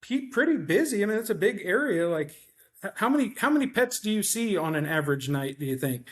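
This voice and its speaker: Affable host with a mild country accent. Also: male voice, sits high, sing-song, a little fast. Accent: Midwestern country. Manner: hesitant, restarts often, affable. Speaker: podcast host. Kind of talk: interview questions.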